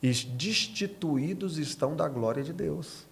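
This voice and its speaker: A man's voice: on the high side, expressive, unhurried, creaky, slightly rough. A Brazilian man, a pastor, talking as an interview guest in a didactic, preaching way, repeating key phrases for emphasis.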